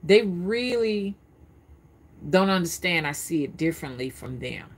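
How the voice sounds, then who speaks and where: Husky, rambling woman in her fifties. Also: on the low side, animated, measured pace. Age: 50s. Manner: rambling, conversational, stammers and repeats words. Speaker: spiritual reader streaming live. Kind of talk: casual live-stream talk.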